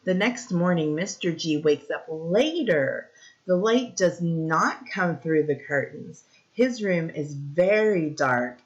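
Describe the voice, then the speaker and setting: A woman with a slightly low voice reads a story to young children in a teacher's style. She explains things in simple terms and keeps stopping to ask questions. The recording sounds a little dull and lo-fi. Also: animated, slow pace. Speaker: teacher reading a picture book aloud.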